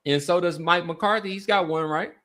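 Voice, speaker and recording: A man's voice: high-pitched, moderate pace, fairly bright tone. A man hosting a casual sports talk podcast, speaking emphatically and often repeating his phrases for weight.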